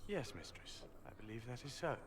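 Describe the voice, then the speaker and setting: A man's voice: mid-range voice, very animated, a little fast, noisy background, close to an everyday voice. A man playing an obedient, deferential spy agent in a video game, speaking formally. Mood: dutiful. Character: obedient male spy agent serving his mistress. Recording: roomy, with crows and crowd behind.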